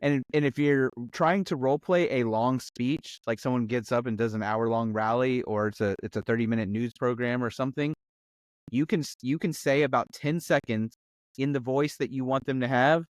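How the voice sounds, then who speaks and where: A man's voice: medium pitch, normal speed. A man with a mid-range voice explains his advice conversationally in a dry, echo-free recording. He talks as a panelist, laying out points and checking that listeners follow. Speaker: discussion panelist on a game master advice show. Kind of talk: casual explanatory chat.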